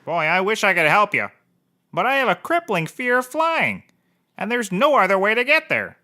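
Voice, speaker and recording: An actor playing a hapless, sentimental private investigator in a comedy audio drama, earnest and melodramatic. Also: male voice, high voice, very animated, normal speed, pushed and bright, full character voice.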